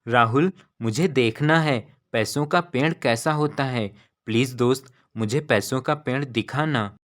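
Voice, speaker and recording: A man voicing a curious, excited young village boy in a cartoon story, eager and amazed in manner. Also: mid-range voice, unhurried, natural voice.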